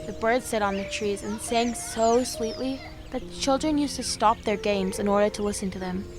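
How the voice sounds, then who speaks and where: An actress playing a gentle young woman who reads a fairy tale aloud in an audio drama, measured and earnest. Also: on the high side, natural voice. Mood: earnest storytelling. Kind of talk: storybook narration in an audio drama. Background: music.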